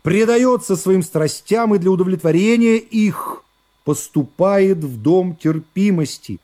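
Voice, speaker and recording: An actor playing a self-satisfied, dim-witted prosecutor who orates with drawn-out words and affected pauses in a radio play. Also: male voice, sits high, dark tone. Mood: grandiose, accusing. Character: pompous, self-satisfied, dim-witted male assistant prosecutor orating in court.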